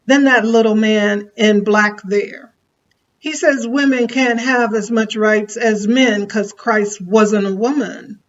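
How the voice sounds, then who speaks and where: A woman's voice: medium pitch, takes it slowly, muffled recording, natural voice. A woman performing an older woman orator, bold and plainspoken, addressing a crowd in a preacherly, folksy way with a repeated refrain. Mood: defiant.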